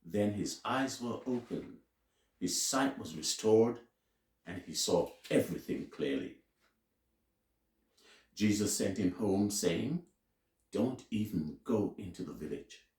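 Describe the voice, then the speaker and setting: A man reading scripture aloud as a teacher, in a solemn, deliberate and emphatic way with dramatic pauses. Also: lowish voice, animated, a little mellow. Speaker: Bible reader and teacher. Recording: roomy, echoing sound.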